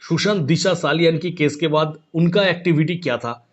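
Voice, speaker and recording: A man's voice: on the high side, normal speed. A man giving opinion commentary to viewers, speaking insistently with repeated points and rhetorical questions. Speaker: online commentator. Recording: dull, lo-fi sound.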